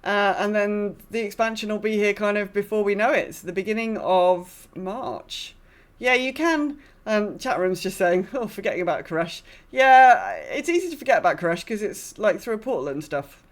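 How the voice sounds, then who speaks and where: A woman hosting a gaming podcast, chatting casually in a rambling, self-deprecating way. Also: mid-range voice. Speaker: podcast host.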